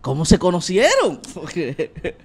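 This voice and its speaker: A man's voice: high voice, wildly swooping pitch, measured pace. A man in his thirties hosting a comedic talk show interview on a handheld mic in a small room, playful and theatrical, laughing often. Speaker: show host.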